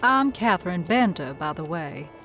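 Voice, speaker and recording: A woman's voice: lowish voice, very animated, music in the background. A woman playing a courteous, watchful frontier storekeeper in a western audio drama, with polite, formal phrasing. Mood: courteous, hospitable. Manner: polite, formal, measured hospitality.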